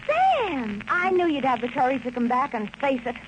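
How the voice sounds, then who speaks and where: An American actress playing an excitable, naive, devoted detective's secretary in 1940s radio drama style. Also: female voice, sits high, normal speed. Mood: eager, anxious. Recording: noisy old-time radio recording.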